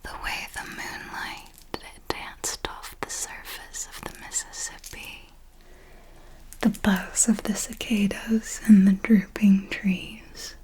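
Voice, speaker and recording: A woman whispering an ASMR roleplay as a darkly elegant, possessive vampire mentor, telling it in a deliberate, theatrical way. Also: medium pitch, level tone, mellow, slightly rough, low-quality recording. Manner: slow, deliberate, theatrical storytelling.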